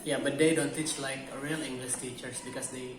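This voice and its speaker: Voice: medium pitch, fairly even intonation, a little fast, crowd noise. A participant in a teacher training class making firm, insistent points. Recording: echoey classroom with people around.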